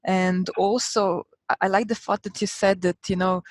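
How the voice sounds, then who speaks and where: A woman moderating a video call sums up and thanks a speaker. Her tone is appreciative and her voice sits at middle pitch. The sound is dry and close, with no room echo. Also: level tone, moderate pace. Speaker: event moderator. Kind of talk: moderating an online panel. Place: indoor room. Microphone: laptop mic on a video call.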